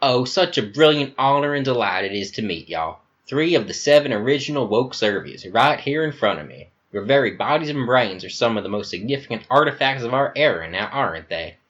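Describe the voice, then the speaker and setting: A man's voice: medium pitch, animated, brisk, hardly any pauses, a bit bright, lo-fi sound, voice pushed up, close to an everyday voice. A man voicing a flamboyant, theatrical middle-aged Southern governor, a gushing villain with florid, grandiose speech and an American Southern accent.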